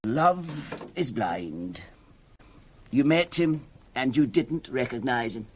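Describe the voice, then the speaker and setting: An actor playing a shrewd police doctor in a radio drama, with a wry, teasing, probing manner. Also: male voice, medium pitch, lively intonation, slow pace, a little hiss or hum. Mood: taunting. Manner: wry, needling.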